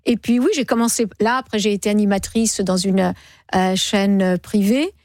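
A woman in her sixties, a singer guest on a radio show, telling stories in a lively, chatty way. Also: mid-range voice, moderate pace, dry recording, clean studio sound. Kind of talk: casual radio interview. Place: radio studio. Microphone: studio microphone.

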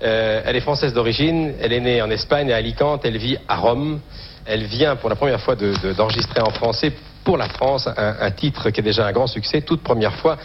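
A man's voice: medium pitch, fairly quick. Man presenting on an old TV clip. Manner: formal presenting. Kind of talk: archive TV introduction. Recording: dull old TV clip with faint hiss.

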